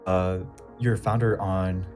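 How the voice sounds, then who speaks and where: A man's voice: sits low, fairly even intonation, slow pace, music in the background. A man hosting an interview on a voice call, upbeat and chatty, with hesitant fillers. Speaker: host.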